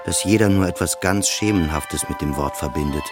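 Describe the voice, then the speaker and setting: Deep-voiced introspective man narrating over music. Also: normal speed. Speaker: narrator of an autobiographical radio play. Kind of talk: scripted first-person literary narration.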